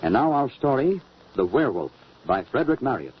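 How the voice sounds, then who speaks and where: A man announcing an old-time radio show, delivering a scripted introduction and sponsor pitch on a muffled old recording. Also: big pitch swings, a little fast, very noisy.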